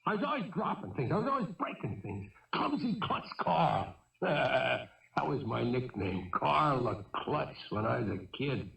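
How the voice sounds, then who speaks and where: An actor playing a wounded fugitive gunman in a 1970s radio drama, jittery, bitter and wistful, speaking in halting, agitated bursts. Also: medium pitch, very animated, vocal fry, fairly bright tone, heavily acted. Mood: bitter, defensive. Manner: halting, agitated, repeating himself. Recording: dull, echoey vintage radio recording, distant mic.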